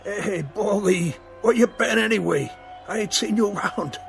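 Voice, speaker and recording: A man's voice: high voice, moderate pace, vocal fry, faint music behind. An actor playing a good-natured, punch-drunk journeyman boxer in a radio drama. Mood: dazed, friendly.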